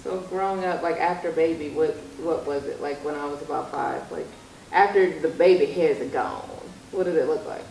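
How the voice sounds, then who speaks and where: Young adult female vlogger, lowish voice, casual storyteller, roomy noisy recording. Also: moderate pace, gravelly, a little mellow. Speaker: vlog host interviewing mother. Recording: roomy echo with background noise.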